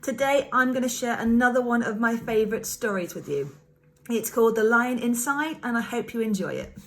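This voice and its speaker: A woman reading a children's story aloud in an expressive, dramatic way, like a primary school teacher. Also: a little high, fairly quick. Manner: animated, dramatic emphasis.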